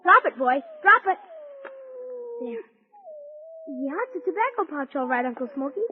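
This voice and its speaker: A woman's voice: high-pitched, sing-song, normal speed. A woman playing an eager, wide-eyed young ranch boy in a vintage radio serial. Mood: eager, curious. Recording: muffled noisy old radio recording.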